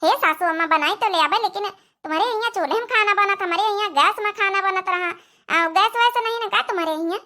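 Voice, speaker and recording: A woman's voice: extremely high pitch, brisk, pushed and bright. A woman voicing a young newlywed bride in a cartoon comedy, chatty, polite and forthright, with an Awadhi accent. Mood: doubtful. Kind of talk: Hindi-Awadhi cartoon family comedy dialogue.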